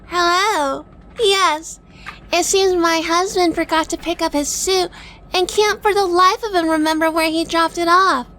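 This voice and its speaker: A woman playing a sardonic, quick-witted female detective in a hardboiled noir audio drama, narrating and talking with wry humor. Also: very high-pitched, fairly even intonation, bright, forceful tone, slight background noise, full character voice. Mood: brisk, snappy. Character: sardonic quick-witted 1940s female gumshoe, weary wife.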